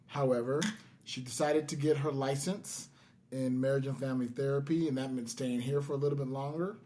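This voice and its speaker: Male podcast host, mid-pitched, casual storyteller with a halting, self-deprecating delivery. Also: fairly even intonation, mellow, a little hiss or hum. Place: in-person podcast recording in Las Vegas.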